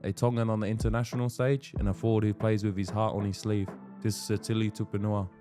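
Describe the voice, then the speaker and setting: A man's voice: lowish voice, little pitch movement, fast, warm tone, soft background music. A man hosting a casual podcast interview, laid-back and slangy, laughing often. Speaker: podcast host.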